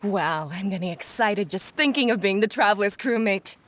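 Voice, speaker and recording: An actress playing an enthusiastic, friendly female sailor in a video game, with an excitable, familiar manner. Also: mid-range voice, moderate pace, a little hiss or hum, close to an everyday voice. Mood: excited, admiring.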